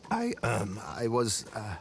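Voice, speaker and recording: An actor playing a sheepish, genteel gentleman who speaks haltingly in a video game drama. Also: lowish voice, wildly swooping pitch, slight background noise, full character voice. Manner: hesitant, genteel. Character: hesitant, genteel, sheepish gentleman friend departing. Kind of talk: naturalistic game cutscene acting.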